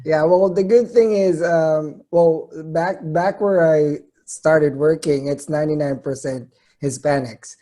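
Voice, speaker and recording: A man's voice: fairly high pitch, steady tone, measured pace, mellow. A Filipino man working as a nurse, joking about accents at work, with a Filipino accent. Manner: jokey, good-humored.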